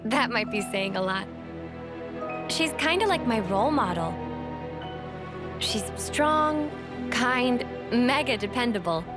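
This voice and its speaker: A woman's voice: on the high side, lively intonation, slow, pauses often, over music. An actress playing a modest, eager but unsure young female fighter in a video game, speaking hesitantly and deferentially. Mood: modest, admiring. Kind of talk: video game cutscene acting.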